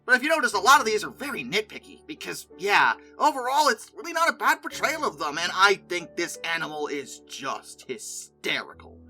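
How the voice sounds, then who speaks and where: An expressive video host with a bright, forceful voice and very lively intonation. The delivery is informal and chatty commentary, with opinionated, exasperated asides and mock disbelief. Music plays faintly behind the voice. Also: mid-range voice, a little fast, hardly any pauses, rough recording.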